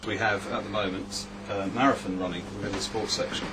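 A male shop worker in an interview, with a lowish voice. He sounds distant from the mic, with clear noise around him. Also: fairly even intonation, a little fast. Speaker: bookshop staff interviewee.

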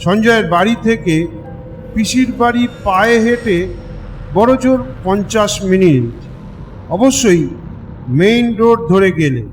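A man narrating a scripted ghost story with deliberate, dramatic phrasing in short pieces. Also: high-pitched, animated, faint music behind. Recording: long reverb, loud night-ambience noise.

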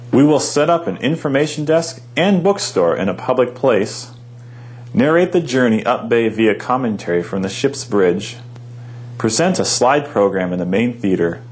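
A male park ranger talks visitors through a day of sightseeing in a scripted, upbeat guide's delivery. His voice sits at an ordinary middle pitch but moves up and down a lot, lively and animated from phrase to phrase. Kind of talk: scripted informational narration.

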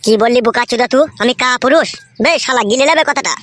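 Man doing a rapid-fire, high-pitched hot-headed cartoon guy. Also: fairly bright tone, no room sound, studio quality. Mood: obstinate. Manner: foul-mouthed, belligerent. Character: stubborn, lovesick, hot-headed married young man. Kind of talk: crude comedy cartoon dialogue.

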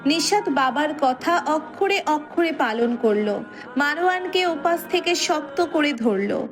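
A woman narrates a scripted story in a high-pitched voice. Faint music runs beneath her reading. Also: lively intonation, a little slow.

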